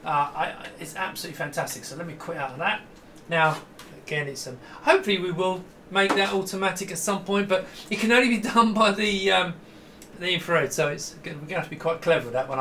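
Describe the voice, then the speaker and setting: A man's voice: fairly high pitch, pushed and bright, slight background noise. A man, giving an enthusiastic, rambling, casual hands-on computer demonstration. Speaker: retro computer vlogger. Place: small indoor home computer room.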